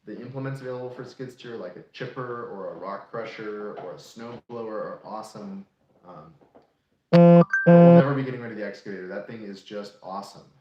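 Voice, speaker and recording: A young man in his late twenties, chatting through a casual live Q&A. His voice sits fairly low and he talks in an easy, practical way. The room around him gives back a clear echo. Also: fairly quick, a little hiss or hum. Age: 20s. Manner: relaxed, practical, explains at length. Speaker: co-host of a homesteading channel. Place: room in an off-grid shop. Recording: echoey room.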